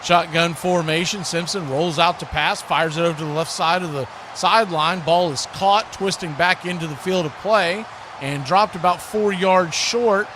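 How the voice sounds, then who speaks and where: A man with a high voice delivers live football commentary as a streaming host. His manner is excitable and conversational, moving between calling plays and chatting. Clear background noise runs behind him. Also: normal speed. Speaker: sports play-by-play host.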